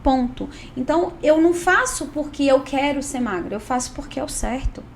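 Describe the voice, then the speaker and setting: High-voiced woman, blunt and joking. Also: animated, normal speed, a little hiss or hum. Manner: blunt, joking, self-mocking, slangy. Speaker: podcast host. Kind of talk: casual interview chat.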